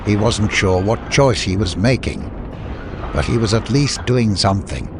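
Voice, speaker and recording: Male audiobook narrator: lower register, dramatic scripted storytelling, clear ambient noise underneath. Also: lively intonation, a little slow.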